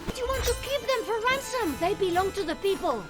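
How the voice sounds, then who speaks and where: A woman's voice: very high-pitched, lively intonation, brisk. A woman voicing a bold, witty young swordswoman hero in a video game. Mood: indignant, earnest. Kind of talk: animated video game acting. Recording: music behind the voice.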